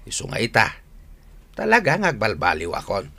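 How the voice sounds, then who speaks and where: A man's voice: lowish voice, wildly swooping pitch, normal speed, creaky, pushed and bright, breathy edge, heavily acted. A man acting in a radio drama as a repentant, earnest older father who likes his drink, with an Ilocano accent.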